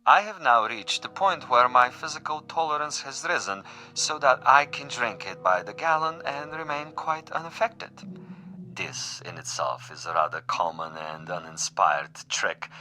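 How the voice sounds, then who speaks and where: A man's voice: mid-range voice, expressive, normal speed, sharp tone. An actor playing an urbane, sadistic assassin who taunts his prey in formal, precise, theatrical phrases in a suspense radio drama. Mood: smug, amused.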